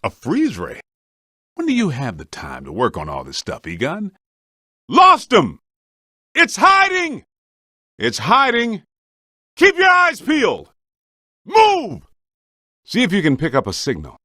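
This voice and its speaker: A wry, streetwise ghost hunter with a very animated pitch that swings around, recorded dry and close. He grumbles and wisecracks like a working guy in a video game. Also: takes it slowly, long pauses, dry recording, full character voice. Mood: wry, questioning, then urgent shouting. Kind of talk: scripted game voice lines.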